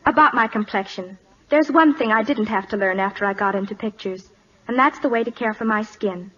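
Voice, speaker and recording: A woman's voice: medium pitch, fairly quick, fairly bright tone. A young woman in her late teens as a radio guest, eager and polite in a scripted 1940s interview. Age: teens, seventeen. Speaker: guest starlet. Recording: muffled old-time radio recording.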